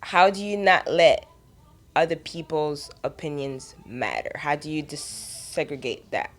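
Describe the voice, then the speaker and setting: A woman's voice: low-pitched, a little slow. A woman hosting a podcast, asking open questions and adding short interjections. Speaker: podcast host. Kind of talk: casual interview.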